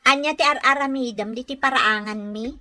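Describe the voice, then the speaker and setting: A woman's voice: high-pitched, brisk, sharp tone. A woman with an Ilocano accent playing a boastful, brusque housewife in a radio comedy, curt and scornful. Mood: irritated. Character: haughty, boastful housewife, brusque with her neighbor.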